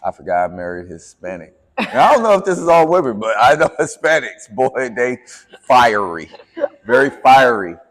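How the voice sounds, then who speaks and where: A man's voice: mid-range voice, sing-song, moderate pace, big-room sound. A man co-hosting a podcast and coaching fitness, telling a story in a laid-back, joking way with playful asides. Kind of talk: casual storytelling chat.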